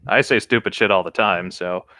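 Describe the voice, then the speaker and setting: A man's voice: sits low, moderate pace, pushed and bright, no room sound, clean studio sound. A man co-hosting a casual chat in an offhand, self-deprecating manner.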